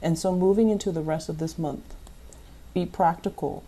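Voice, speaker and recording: A woman's voice: lowish voice, steady tone, normal speed, dark tone, breathy edge, rough recording. A woman giving a tarot reading, advising the listener earnestly and repeating phrases for emphasis. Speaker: tarot reader.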